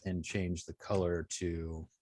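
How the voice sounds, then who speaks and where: A man's voice: low-pitched, flat delivery, takes it slowly, background noise. A man teaching an informal hands-on coding lesson, thinking aloud hesitantly and trailing off mid-sentence. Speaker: web design instructor.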